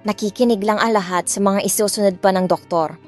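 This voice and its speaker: A woman's voice: mid-range voice, fairly even intonation, a little fast. A woman narrating a scripted first-person drama story, with music behind her. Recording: music bed under the voice.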